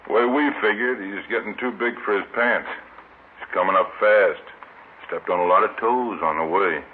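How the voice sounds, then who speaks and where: An American man playing a laconic police captain in a 1950s radio crime drama, measured and matter-of-fact. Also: fairly low pitch, close to an everyday voice. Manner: measured, plain-spoken. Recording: muffled, noisy old radio recording.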